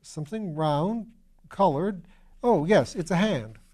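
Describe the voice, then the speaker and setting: A man reads out an eager young upper-class student in a storybook. He is easily flustered and runs himself down in old-fashioned slang. The voice rides high and stays mellow. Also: slow pace, exaggerated voice. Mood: recalling, eager. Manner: excitable, self-deprecating, old-fashioned slangy speech.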